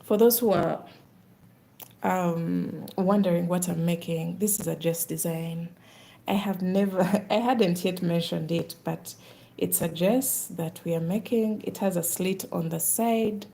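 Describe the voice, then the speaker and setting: A woman's voice: lowish voice, fairly even intonation, measured pace, gravelly, warm tone. A woman with a Ugandan accent, chatting casually on a live stream while she does craft work.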